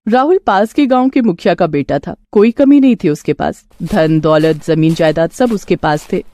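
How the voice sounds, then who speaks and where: A woman storyteller reading a scripted moral tale in an expressive, dramatic way. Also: fairly low pitch, moderate pace, dry recording.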